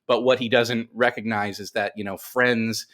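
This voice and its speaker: A man with a fairly low voice talks casually as an interview guest. He explains creative ideas by thinking aloud, often doubling back to rephrase himself in a halting flow. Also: level tone, fairly bright tone. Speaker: podcast guest, writer of comics and screenplays.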